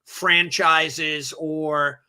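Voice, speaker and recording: Podcast guest: man, New York accent, a touch hoarse, very bright and a little high, energetic and chatty. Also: very slow. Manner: energetic, rambling, self-correcting. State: slightly hoarse. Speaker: martial arts school owner, guest. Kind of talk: casual podcast interview.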